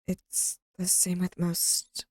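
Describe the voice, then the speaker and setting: A woman's voice: fairly low pitch, completely flat delivery, takes it slowly, a little mellow. Woman casually reacting to a game. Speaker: streamer playing and reacting to a game.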